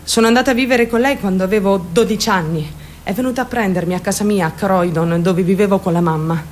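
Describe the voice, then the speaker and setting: A woman's voice: mid-range voice, quick pace, a little hiss or hum, natural voice. An actress playing a troubled, defiant teenage girl who confides her story straight to the listener in a theatrical radio monologue. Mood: resentful, confiding. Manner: confiding, addressing listeners directly, mimicking other voices.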